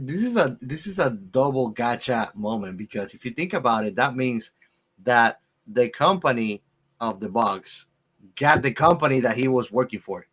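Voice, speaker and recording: A man talks through a film as a podcast co-host. He is emphatic and likes to restate a point to hammer it in. His pitch sits in an ordinary male middle range. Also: a bit bright. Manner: emphatic, repeats phrases to drive points home. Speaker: movie discussion podcast co-host. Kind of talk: casual film discussion.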